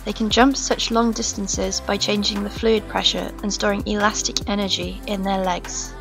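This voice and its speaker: Channel host, young woman, a bit hoarse, calm teaching delivery over light music. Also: medium pitch, moderate pace. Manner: steady explanatory delivery. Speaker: pet-keeping channel host. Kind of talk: informative pet-care narration. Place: home room with reptile tanks.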